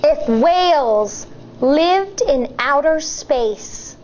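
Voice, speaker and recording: An American woman in her thirties, a teacher reading a picture book aloud to children in an expressive, deliberate way. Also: high voice, dull audio, a little hiss or hum. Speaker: elementary school teacher.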